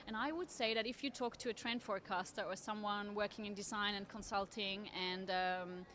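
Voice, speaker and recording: A woman's voice: mid-range voice, fairly even intonation, a bit bright, slight background noise. Woman, thoughtful interview guest. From Austria. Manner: articulate, thoughtful, measured. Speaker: interview guest, trend forecaster. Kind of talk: conversational interview. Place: at a table at a design fair.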